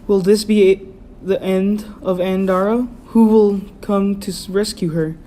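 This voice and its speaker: A woman's voice: mid-range voice, fairly even intonation, measured pace, mellow. A woman presenting a scripted mock news broadcast. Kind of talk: scripted mock radio newscast. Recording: faintly noisy recording.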